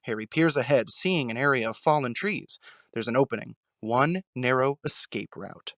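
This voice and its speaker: Casting: male narrator for suspenseful audio drama, mid-pitched, very dry sound, deliberate phrasing. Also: lively intonation, a bit bright, no room sound.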